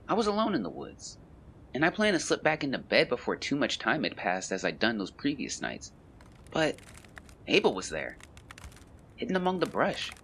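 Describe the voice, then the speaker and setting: A solemn young man of the 1920s, played by a male actor, carries grief and shame in a confessional audio-drama monologue. His mid-pitched voice rises and falls expressively. Outdoor ambience with birdsong is clearly audible behind his literary, measured words. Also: a bit bright, a little hiss or hum.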